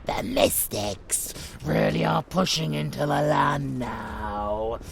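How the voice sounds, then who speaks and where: A man playing a sadistic, gloating vampire monster in an audio roleplay, with a gravelly, menacing, foul-mouthed delivery that savors every word. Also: mid-range voice, a bit bright, a little hiss or hum, heavily acted. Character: sadistic, gloating male vampire monster who relishes slaughter.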